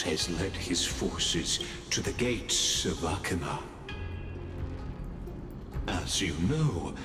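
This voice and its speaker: An actor playing a grave male chronicler, narrating a game story in a measured, solemn way. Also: low voice, exaggerated voice. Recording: echoey hall with game music.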